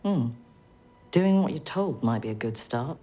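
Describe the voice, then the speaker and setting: An actress playing an intelligence chief in a video game, formal, dry and authoritative. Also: female voice, very deep voice, lively intonation, a little slow, heavy background noise. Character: authoritative, dryly commanding female British spy chief. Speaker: intelligence chief briefing agents. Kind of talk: video game cutscene acting.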